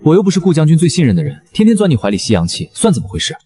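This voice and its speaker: Haughty, animated young chancellor voiced by a man. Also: on the high side, racing, husky, dry recording, heavily acted. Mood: huffy. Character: proud, prim chancellor, secretly a rabbit spirit. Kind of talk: dubbed historical romance drama acting.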